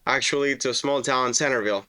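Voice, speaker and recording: A man in his thirties from Venezuela with a Venezuelan Spanish accent, telling his story as a podcast guest, relaxed with many fillers. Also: mid-range voice, fast, no echo. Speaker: guest, roofing business owner. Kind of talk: casual interview talk.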